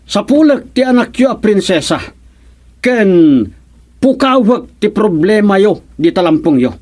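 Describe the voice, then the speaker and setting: A man's voice: high voice, big pitch swings, measured pace, darkish tone, exaggerated voice. A man playing a hapless fake prince who poses as a barber in a Filipino comedy radio play, with a Filipino accent and a stammering, pleading delivery. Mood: boastful. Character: hapless comic impostor prince posing as royal barber.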